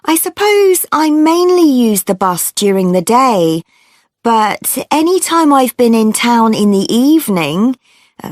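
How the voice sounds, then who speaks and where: A woman's voice: a little high, no echo, clean studio sound. A woman playing a friendly, chatty bus passenger in her thirties who answers a survey in a scripted listening-test dialogue. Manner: chatty, obliging, unhurried.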